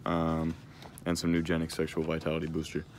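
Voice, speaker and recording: A teenage guy on a phone vlog in a store, reading product labels aloud with deadpan jokes. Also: very deep voice, fairly quick, a little hiss or hum. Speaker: vlogger. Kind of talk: casual handheld vlog. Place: large store, indoors. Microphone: handheld phone camera.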